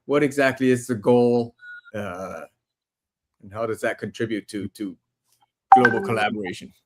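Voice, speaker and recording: A man's voice: lowish voice, normal speed, heavy background noise. A man hosting a podcast interview, enthusiastic and repeating words as he starts his sentences. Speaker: podcast host. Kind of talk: casual interview talk.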